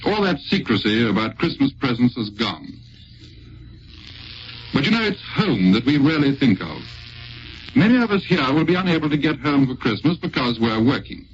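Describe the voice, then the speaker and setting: A British man presenting a scripted 1940s wartime radio broadcast in a measured, formal manner. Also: medium pitch, big pitch swings, faint music behind. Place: radio studio. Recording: muffled, noisy 1940s radio recording.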